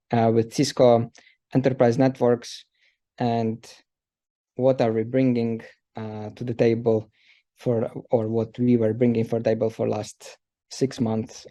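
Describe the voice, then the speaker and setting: A man in his thirties from Ukraine presents a technical session for an audience. His voice is gravelly and mellow, with a low, dark color. He talks loosely, filling gaps with uh and um. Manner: loose, filler-heavy speech with many uh and um. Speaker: presenter, systems engineer.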